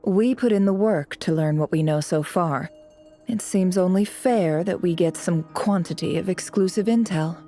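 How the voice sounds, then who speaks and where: A woman playing a cool, calculating intelligence broker in a video game, speaking in a measured, businesslike way. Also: on the low side, mellow, soft background music, lightly acted. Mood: composed. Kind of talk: video game story dialogue.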